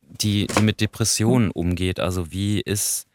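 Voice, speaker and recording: A man's voice: lowish voice, steady tone, a little slow, noisy background. A man co-hosting a podcast, explaining and reviewing in a conversational way with small asides. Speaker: podcast co-host. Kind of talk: conversational radio play review.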